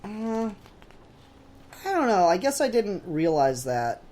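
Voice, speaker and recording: A man's voice: high voice, very animated, slow pace, a little mellow, a little hiss or hum. A man co-hosting a podcast, talking casually about comic books in an opinionated, blunt way. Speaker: podcast co-host. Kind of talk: casual comic book discussion.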